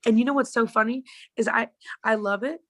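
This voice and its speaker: A woman who is a guest on a podcast, talking in a relaxed, rambling and reflective way. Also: fairly high pitch, brisk. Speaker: podcast guest, social media creator. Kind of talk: casual interview chat.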